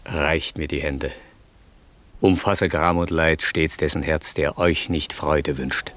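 A man's voice: rumbling low, fairly even intonation, slow, a bit bright, heavily acted. A man playing a noble older king and father, full of wonder, declaiming verse solemnly in a classic radio play. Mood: moved, solemn.

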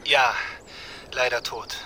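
A man's voice: mid-range voice, steady tone, slow pace, bright, forceful tone, a little hiss or hum, rough recording. An actor playing a male police colleague in a radio crime drama, with a dry, teasing manner. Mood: grave.

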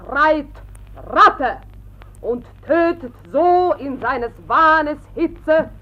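An actress playing a grand, older Shakespearean actress of the old school, declaiming with very clear enunciation on a dull old recording in a radio play. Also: female voice, high voice, animated, drawn-out words, full character voice. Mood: tragic fervour. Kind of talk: theatrical recitation in 1950s radio drama.

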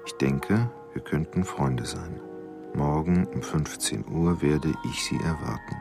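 Obsessive loner: extremely low, slow, gravelly radio drama monologue, bitter and gloomy. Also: male voice, over music, exaggerated voice. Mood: yearning, waiting. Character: lonely, bitter, obsessive male letter writer.

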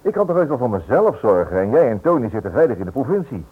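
A man's voice: mid-range voice, big pitch swings, fast talker, slightly rough. An actor playing a stubborn, protective husband and father in a radio drama, urgent and dismissive. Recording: muffled old radio play recording.

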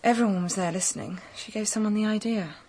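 A young woman in a radio play, played by an actress, the protective elder sister. Her voice is husky and sits at a middling pitch. She sounds firm, weary and exasperated. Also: fast talker, a little mellow, natural voice, low-quality recording. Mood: exasperated, worried. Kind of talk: radio drama acting.

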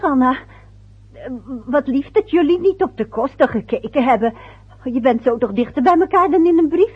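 On a tinny, narrow-band old radio recording, a flustered, sentimental mother in a radio play. Her high voice swings widely in pitch. She is hesitant and full of little exclamations as she fusses over her faraway family.

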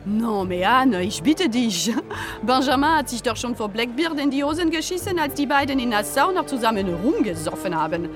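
A woman's voice: on the high side, expressive, normal speed, natural voice. An actress playing a worldly French woman in a German audio drama, telling grim news with a French accent and dramatic relish. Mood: dismissive. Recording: studio radio drama with music underneath.

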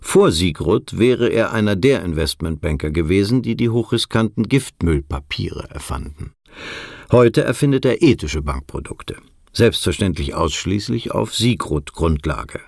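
An actor playing a measured, ironically earnest narrator in a satirical radio drama. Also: male voice, deep voice, animated, vocal fry, no room sound, clean studio sound, exaggerated voice. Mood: dry, explanatory. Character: wry male narrator of a financial satire.

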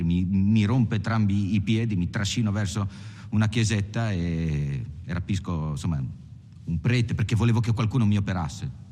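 An actor playing a weary, aggrieved bank robber who tells his story haltingly in a film monologue. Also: on the low side, warm tone, lightly acted. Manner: halting, repeats words. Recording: long echoing reverb, faint music.

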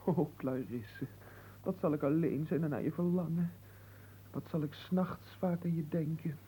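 An actor playing a lovelorn young manservant in a radio play, florid, evasive and poetic, stammering in melodramatic sorrow. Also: male voice, fairly high pitch, moderate pace, warm tone, slight background noise, close to an everyday voice. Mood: sorrowful.

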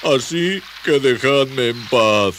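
A man's voice: medium pitch, lively intonation, fairly bright tone, a little hiss or hum, pitched above the performer's own voice. A man voicing a desolate creature from a children's story, sobbing and whining as it drags out its words. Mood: tearful misery. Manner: slow, drawn-out sobbing whine. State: crying. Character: desolate, weeping creature under a bridge, forever sad.

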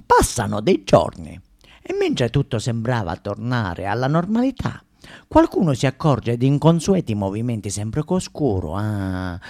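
A male actor plays an aggrieved Sicilian man in a stage monologue. He tells his tale with florid, wry drama and acts out noises and dialogue as he goes. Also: mid-range voice. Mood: wry, tense. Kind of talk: theatrical monologue, storytelling on stage.

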